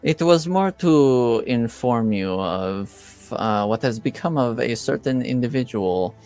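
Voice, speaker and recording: A man voicing a formal, guarded woman in her forties in a tabletop roleplaying game, with stiff, measured phrasing. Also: medium pitch, lively intonation, darkish tone, faint music behind.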